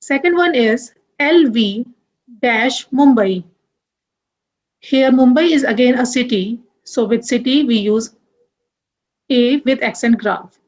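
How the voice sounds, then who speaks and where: A young woman teaching French grammar, moving deliberately through examples and spelling out letters one by one. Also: fairly high pitch, darkish tone, poor audio. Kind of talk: grammar lesson.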